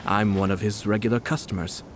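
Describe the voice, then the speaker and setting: An actor playing a poised young noble clan head in a video game, formal and polite with a quiet playfulness. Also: male voice, fairly low pitch, noisy background, natural voice.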